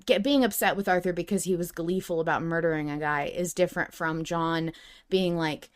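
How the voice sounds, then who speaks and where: A woman's voice: on the low side, fairly even intonation, fairly quick, smooth. A woman co-hosting a podcast in casual discussion, talking through her point conversationally with hedges. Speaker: podcast co-host.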